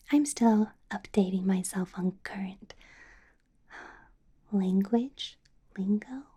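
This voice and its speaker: A woman voicing a doting, possessive vampire boss in an ASMR roleplay, in a soft breathy whisper with a coaxing, controlling sweetness. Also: medium pitch, extremely slow, long pauses. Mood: businesslike, coy. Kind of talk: ASMR roleplay, close whispered personal attention.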